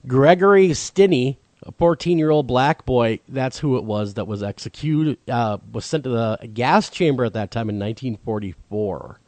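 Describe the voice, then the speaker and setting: A man's voice: mid-range voice, normal speed, a little mellow, no room sound. A man co-hosting a talk podcast, chatty and agreeable, his voice a bit froggy from a cold. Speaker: podcast co-host. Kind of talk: casual discussion.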